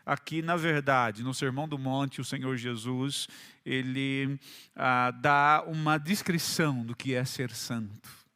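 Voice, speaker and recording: A man with a Brazilian Portuguese accent, preaching a sermon as pastor, emphatic and repetitive, swinging between loud stresses and quiet drops. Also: medium pitch, slow pace, clear, resonant tone.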